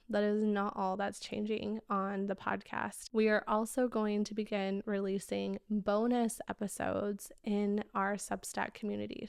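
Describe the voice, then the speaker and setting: A young woman hosting a solo podcast in a chatty, casual way, her voice slightly raspy as she gets over losing it. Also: mid-range voice, no echo, clean studio sound. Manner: warm, chatty, addresses listeners as "babes". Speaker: podcast host.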